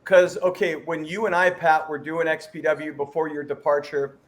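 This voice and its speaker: Middle-aged male host, rambling friendly banter, notably high voice. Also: level tone, normal speed. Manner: chatty, rambling, jokey. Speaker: podcast host. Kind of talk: casual interview chat.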